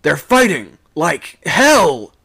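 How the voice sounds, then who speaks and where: A man playing a rough sailor shouting urgently between breaths in a sea story. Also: on the high side, big pitch swings, very slow, pitched above the performer's own voice, heavily acted. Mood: urgent, alarmed. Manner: shouting, gasping. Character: rough, breathless sailor urging men up the rigging.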